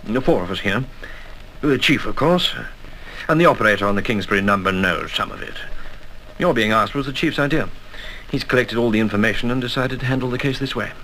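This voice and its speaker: An actor playing a courteous, commanding colonel in a 1960s radio drama, speaking in brisk, formal briefings. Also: male voice, mid-range voice, bright, forceful tone, natural voice. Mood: brisk, businesslike. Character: genteel, commanding older intelligence colonel, male, dryly courteous. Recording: faintly noisy 1960s flexidisc radio recording.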